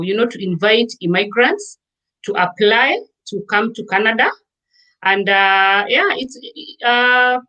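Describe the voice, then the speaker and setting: A woman from Kenya, a guest in a casual interview, explaining at length with frequent pauses and fillers. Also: medium pitch, sharp tone, low-quality recording. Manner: explanatory, with many fillers and restarts.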